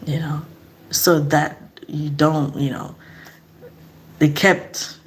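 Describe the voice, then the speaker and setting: A woman's voice: low-pitched, steady tone, slow pace. A middle-aged woman from eastern Nigeria, speaking in a casual, earnest vlog monologue and asking reflective questions. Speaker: vlogger.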